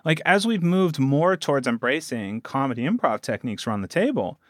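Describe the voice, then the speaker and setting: A man's voice: mid-range voice, big pitch swings, brisk, dry recording. Talkative man chatting in a dry recording. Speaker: podcast producer and guest. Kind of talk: casual interview chat.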